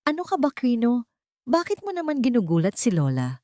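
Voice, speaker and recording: A woman's voice: fairly high pitch, huge pitch swings, a little fast, warm tone, no echo, studio quality, voice pushed up. Woman narrator playing a cold, menacing daughter-in-law. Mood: annoyed. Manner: cold, pressuring, sugary sarcasm. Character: sharp, menacing daughter-in-law with falsely sweet tone. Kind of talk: dramatic storytelling.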